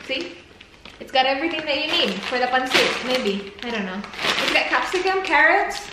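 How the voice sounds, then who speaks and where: A giggly young woman from the Philippines with a high voice talks playfully about her groceries. She sits far from the camera in a kitchen with heavy echo and a noisy background. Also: animated, normal speed. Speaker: vlogger. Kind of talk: casual chat. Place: large home kitchen, indoors.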